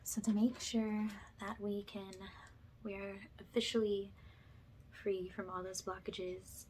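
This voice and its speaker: A woman speaking softly and breathily, often slipping into a whisper, gently reassuring in the style of a guided ASMR energy-healing session. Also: medium pitch, little pitch movement, a little hiss or hum. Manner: gentle, slow, reassuring, affirming.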